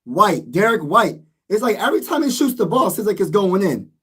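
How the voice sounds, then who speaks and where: Hoarse guy going off about the game, voice sits pretty high, keeps repeating himself. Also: big pitch swings, brisk, a bit bright. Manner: frustrated, emphatic ranting with repeated phrases. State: losing his voice. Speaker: sports vlogger. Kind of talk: casual sports rant.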